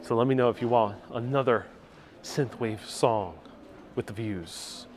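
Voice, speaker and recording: A man hosts a live stream outdoors, talking at an ordinary middle pitch into a small clip-on mic. He is chatty and upbeat, and he thanks his viewers by name as he reads out their comments. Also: a little slow, a little mellow, slight background noise. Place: outdoors in a city at sunset. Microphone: wireless clip-on mic.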